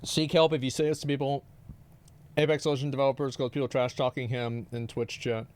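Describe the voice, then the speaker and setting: A man's voice: mid-range voice, little pitch movement, brisk. A man streaming, reacting to videos in rambling, profane casual commentary, often stammering and repeating words.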